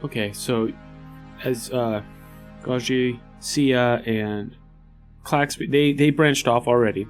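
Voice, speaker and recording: A man's voice: medium pitch, fairly even intonation, slow pace. A man playing a tabletop game, casually thinking aloud as he pieces his plans together. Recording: music behind the voice. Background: background music.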